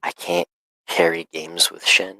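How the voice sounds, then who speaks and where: Deep gravelly man with a cough. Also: little pitch movement, measured pace, pushed and bright, no echo. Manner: hesitant, many fillers. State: sick, coughing. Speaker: student player. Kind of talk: casual call conversation.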